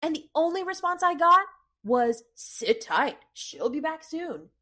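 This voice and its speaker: An actress playing an affectionate, excitable young woman, an effusive friend in a fantasy comedy audio drama. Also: high voice, unhurried, full character voice. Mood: gentle, anxious.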